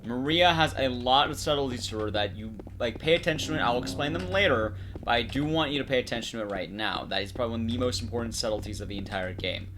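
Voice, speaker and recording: A man's voice: medium pitch, fast talker, few pauses, over music. A man giving casual, analytical commentary on a video game as its commentator.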